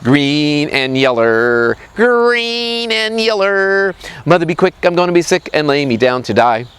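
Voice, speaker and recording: A sickly, whiny little boy from a children's song, played by a man. He drawls and wails his answers to his mother in comic melodrama, climbing higher than normal speech. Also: huge pitch swings, very fast, vocal fry, full character voice. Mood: comic, dying. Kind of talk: sung comic folk-song dialogue.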